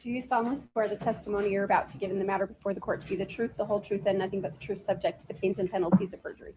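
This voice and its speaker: A woman serving as court clerk, reciting the oath in a rapid rote delivery. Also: medium pitch, steady tone. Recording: distant noisy courtroom mic.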